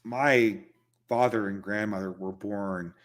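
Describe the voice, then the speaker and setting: A man's voice: on the low side, fairly even intonation, takes it slowly, a bit bright. A man hosting a casual livestream, answering viewer questions in loose, conversational talk and chuckling between points.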